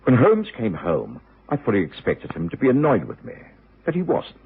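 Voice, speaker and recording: An actor playing a loyal middle-aged British gentleman doctor, telling the story earnestly and formally in old radio drama style. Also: medium pitch, huge pitch swings, moderate pace, breathy edge, heavily acted. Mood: earnest storytelling. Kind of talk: 1950s-60s radio drama acting and narration. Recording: muffled, noisy old radio recording.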